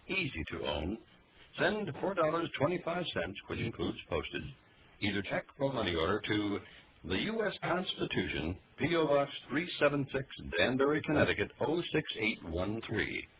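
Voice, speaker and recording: A man reading a scripted mail-order radio advert as an announcer. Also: fairly low pitch. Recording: noisy dull shortwave radio broadcast.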